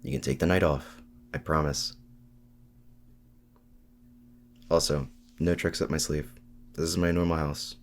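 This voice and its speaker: A man's voice: very deep voice, steady tone, creaky, slight background noise. A man acting in an intimate audio roleplay as a lonely, awkward supervillain and mad scientist, speaking in a hesitant, sincere way. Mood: wry, reassuring. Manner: hesitant, halting, softly sincere.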